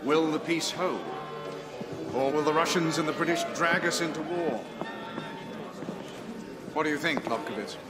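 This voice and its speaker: An actor playing a haughty, sceptical count in a period drama, with a condescending, probing manner. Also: male voice, a little high, a little slow, long pauses, loud music behind the voice, close to an everyday voice. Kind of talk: naturalistic television period drama acting.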